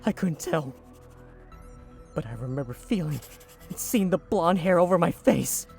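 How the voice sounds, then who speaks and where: A haunted young male witness, as a man voices him, halting and hesitant, speaking very slowly. Soft music plays behind him in a fantasy audio drama. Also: a little high, animated, gravelly.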